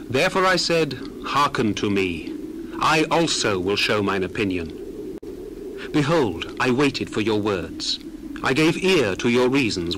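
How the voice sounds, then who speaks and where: A man's voice: mid-range voice, noisy background. An actor playing an earnest, indignant young man of ancient times, declaiming solemnly in a dramatized scripture reading. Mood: earnest, restrained indignation. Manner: declamatory, solemn, archaic phrasing.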